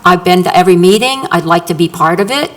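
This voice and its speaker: A woman's voice: fairly low pitch, expressive, fast talker. A woman landlord giving an earnest, emphatic public comment at a city council work session, repeating her points. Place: council chamber.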